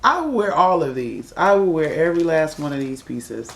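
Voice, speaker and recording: A woman casually chatting through an unboxing for her viewers, her voice raspy from a cold as she admires each piece. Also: deep voice, very animated, moderate pace. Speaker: unboxing vlogger. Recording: echoey room.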